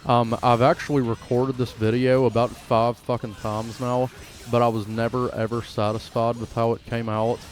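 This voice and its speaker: A man's voice: medium pitch, flat delivery, moderate pace, faint music behind. A man giving casual, informal gameplay commentary as the creator of a gaming video.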